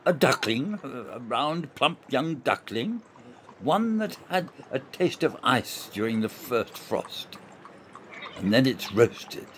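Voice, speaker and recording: Man playing older male officer, radio play, stubborn, savoring, emphatic, lively intonation, slight background noise. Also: medium pitch. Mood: savoring relish. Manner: savoring, emphatic, drawn-out descriptions. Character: stubborn, gloating older male soldier-officer relishing imagined food. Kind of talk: amateur radio play acting.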